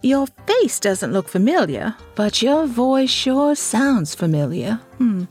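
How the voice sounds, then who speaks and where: Husky, high-pitched genteel socialite woman, acted. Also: sing-song, takes it slowly, darkish tone, faint music behind, full character voice. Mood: airy, curious. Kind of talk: audio drama acting.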